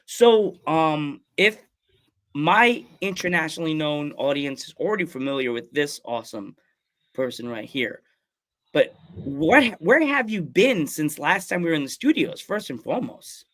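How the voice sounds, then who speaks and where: A man's voice: on the high side, lively intonation, normal speed, a bit bright. A man hosting a wrestling podcast, enthusiastically welcoming and interviewing guests in a casual chat. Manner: enthusiastic, repeats "awesome". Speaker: podcast host.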